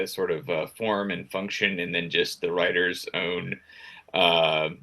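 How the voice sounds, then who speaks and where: Deep, very sharp-toned man, halting speaker. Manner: halting, thinking aloud in broken phrases. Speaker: guest author. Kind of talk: casual discussion of writing craft.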